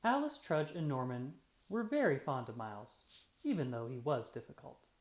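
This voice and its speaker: A children's read-aloud narrator with a mid-range voice that rises and falls in a lively way. The phrasing is deliberate and expressive, made for young listeners. The room adds noticeable echo. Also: medium pitch. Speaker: storyteller reading aloud. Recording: roomy, echoey sound.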